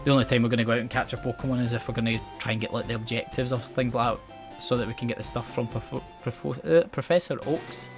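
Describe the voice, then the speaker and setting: Young man, west coast Scottish accent, rambling, self-mocking game commentary, mid-pitched voice, faint game music under it. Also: fairly even intonation, quick pace. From Scotland. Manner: chatty, rambling, self-mocking, often restarts words and laughs. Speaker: gaming channel host.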